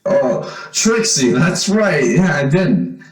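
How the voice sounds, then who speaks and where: The voice rings in a roomy space. It is a man acting an uneasy young man in an old-time radio drama, halting and slow, with a pitch that moves around a lot. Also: very animated, faint music behind. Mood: hesitant, wary. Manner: hesitant, halting. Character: uneasy young man returned home, posing as lost son. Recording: roomy, echoing recording.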